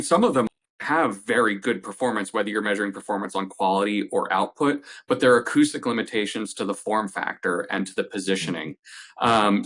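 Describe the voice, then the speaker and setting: Low-voiced man explaining technical gear. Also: a bit bright. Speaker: company representative, audio product expert. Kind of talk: conversational technical explanation in an interview.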